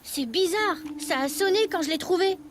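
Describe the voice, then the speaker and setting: A woman voicing a curious, gently skeptical young child in a children's cartoon, speaking in a questioning, matter-of-fact way. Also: very high-pitched, expressive, normal speed, background noise. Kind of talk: dubbed children's cartoon acting.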